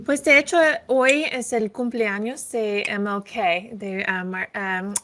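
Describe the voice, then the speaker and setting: A woman lawyer chats on an online show in careful, slow Spanish, her first language being English. She pauses, restarts and switches into English when the words run out. Her voice sits at an ordinary middle pitch. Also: steady tone, bright, forceful tone. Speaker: immigration lawyer, show regular. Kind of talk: casual online talk show.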